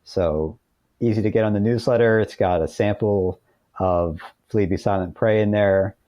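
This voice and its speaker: A man's voice: lowish voice, fairly even intonation, normal speed, dark tone. A middle-aged American man speaking as a guest author in a conversational interview, explaining things earnestly. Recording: dull lo-fi call audio, roomy echo.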